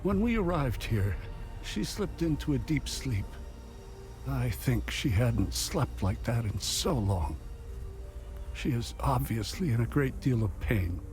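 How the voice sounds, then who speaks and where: A man's voice: medium pitch, sing-song, slow, darkish tone. An actor playing a devout, fatherly older abbot in a video game, speaking in formal, pious and grave phrases. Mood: calm, gentle. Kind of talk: dramatic game dialogue acting. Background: music.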